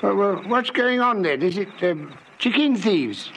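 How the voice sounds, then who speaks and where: Fussy old farmer guy, high voice, excitable, noisy old radio play. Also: unhurried, heavily acted. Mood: flustered, obliging. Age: older. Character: fussy, suspicious, excitable old male smallholder. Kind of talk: vintage radio drama acting.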